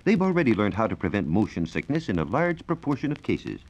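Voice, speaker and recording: A low-voiced American man explains science on 1940s radio. His pitch swings up and down a good deal as he speaks, while his delivery stays formal and measured. The old recording sounds dull and lo-fi. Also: big pitch swings, darkish tone. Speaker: science reporter on a radio program. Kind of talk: 1940s radio educational talk, scripted explanation. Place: radio studio.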